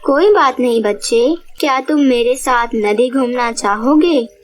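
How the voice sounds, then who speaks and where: Cartoon role: protective motherly heroine, played by a woman, high voice, gentle and concerned. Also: a little slow, soft background music, natural voice. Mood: gentle, reassuring. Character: caring, protective young mother and gentle mermaid. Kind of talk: cartoon moral-story voice acting.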